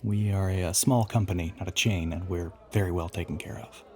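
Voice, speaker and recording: A man's voice: steady tone, brisk. A man playing an ancient, suave male vampire in an intimate audio roleplay, teasing and smug, with formal, theatrical phrasing. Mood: polite charm. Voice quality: low, breathy half-whisper.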